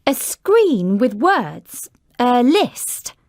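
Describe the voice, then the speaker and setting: A woman's voice: on the high side, very animated, extremely slow, darkish tone, dry recording, studio quality, exaggerated voice. A woman voicing a curious, enthusiastic young girl in a children's story, with an inquisitive manner. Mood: interested.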